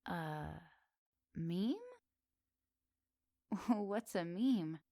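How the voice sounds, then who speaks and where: Woman playing a slow, teasing flirty witch. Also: fairly low pitch, dry recording. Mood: curious, amazed. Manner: teasing, coy, expressive with soft pauses. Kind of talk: ASMR roleplay, addressed intimately to the listener.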